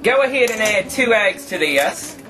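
A man's voice: high-pitched, animated, normal speed. A man playing a campy, thrifty trailer park queen, giving a folksy, tongue-in-cheek home cooking demonstration. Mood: folksy, cheerful instructing. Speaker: cooking show host. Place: small home kitchen. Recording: distant camera microphone, music behind.

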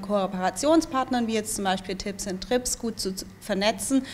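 A young woman with a medium-pitched voice explains as an interview guest. A handheld microphone picks her up indoors with a little background noise. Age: young adult. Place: small indoor information room with bookshelves. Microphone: handheld microphone held out to her.

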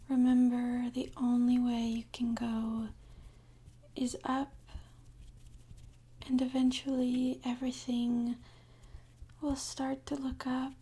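A woman speaking softly and half-whispering in a gentle, soothing, unhurried way, as an ASMR guide leading a sleep meditation. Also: a little high, monotone. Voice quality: soft, breathy, half-whispered.

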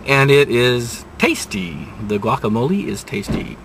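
An older man reviewing restaurants from inside his car, talking in an unhurried, rambling way with dry, self-mocking asides. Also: mid-range voice, very animated, fairly bright tone, a little hiss or hum. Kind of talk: casual restaurant review vlog. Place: inside a parked car, daytime. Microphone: dashboard camera at close range.

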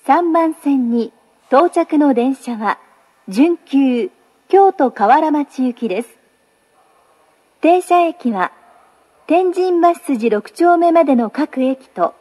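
A woman's voice: sits high, a little mellow. A woman making formal, carefully enunciated recorded train platform announcements as a station announcer.

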